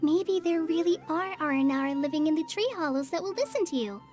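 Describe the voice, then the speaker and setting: A woman voicing an earnest, kind teenage girl who is a trainee forest ranger in a video game, gentle and reassuring, with music behind. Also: extremely high pitch, expressive, brisk. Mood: gentle, encouraging. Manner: gentle, reassuring, eager to help. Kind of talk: animated video game voice acting.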